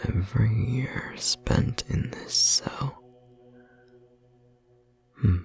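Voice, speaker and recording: A man playing an ancient imprisoned godling, resigned and quietly menacing, in a breathy, creaky whisper for a horror audio roleplay. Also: lowish voice, full character voice. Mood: wistful resignation. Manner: slow, measured, quietly menacing. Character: ancient imprisoned male godling, resigned, eerily calm, wounded.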